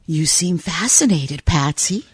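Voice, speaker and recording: A woman acts out a sultry nightclub femme fatale for a noir radio play. Her voice is slightly low and husky, drawn out slowly. She sounds haughty and teasing. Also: lively intonation, no room sound, studio quality, full character voice. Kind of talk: old-time noir radio acting.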